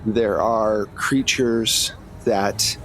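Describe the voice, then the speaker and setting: A man voicing a no-nonsense female government agent who recruits with grave, deliberate persuasion in a tabletop game. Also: lowish voice, fairly even intonation, a bit bright, a little hiss or hum, full character voice, low-quality recording. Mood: grave, persuasive.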